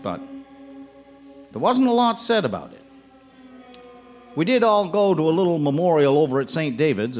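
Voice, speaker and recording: Radio drama narrator: wry folksy fisherman, lively mid-range voice, faint music. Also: a little high. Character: wry, folksy small-town ice fisherman telling ghost tale.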